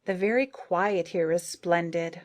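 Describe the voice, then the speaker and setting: A woman's voice: on the low side, measured pace, natural voice. A woman voicing a young, shy and polite female bee with an eager, admiring manner.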